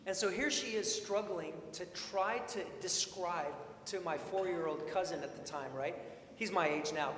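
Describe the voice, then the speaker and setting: Echoey, higher-voiced man preaching in church. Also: normal speed. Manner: informal, expressive, repeats questions for emphasis. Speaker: preacher, priest. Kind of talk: sermon, conversational storytelling and rhetorical questions. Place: large indoor church sanctuary. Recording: echoey church hall, long reverb, faint noise.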